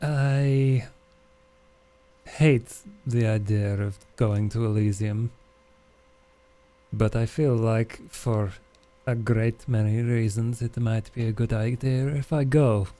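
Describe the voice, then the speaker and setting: A man voicing a calculating, self-interested vampire in a tabletop roleplay, with a dry, deliberate delivery. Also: mid-range voice, fairly even intonation, warm tone, natural voice. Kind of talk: actual play roleplay dialogue.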